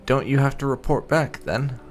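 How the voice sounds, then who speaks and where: A man hosting a gaming video, giving casual, wry playthrough commentary with some light roleplay in a gravelly voice. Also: medium pitch, moderate pace. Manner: wry, sarcastic. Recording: game music behind. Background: game music.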